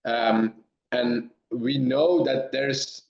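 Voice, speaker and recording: A man from Belgium, a dairy science professor, answering webinar questions in halting speech with many restarts over a remote call. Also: medium pitch, steady tone, vocal fry. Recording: roomy, dull lo-fi sound.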